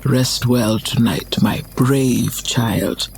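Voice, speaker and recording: A man's voice: mid-range voice, fairly even intonation, a little hiss or hum, rough recording. A man playing a wise old clan elder, telling his story reflectively and solemnly in a motion comic. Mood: solemn, tender. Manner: slow, reflective storytelling with breathy phrase endings. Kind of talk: dramatic narration in a motion comic. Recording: music behind the voice. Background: music.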